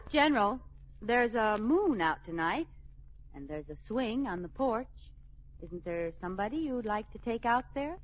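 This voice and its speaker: An actress playing a well-meaning, scheming housewife in a 1940s radio comedy, coaxing and theatrical. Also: female voice, on the high side, big pitch swings, a little slow. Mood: pleading. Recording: noisy, dull old radio recording.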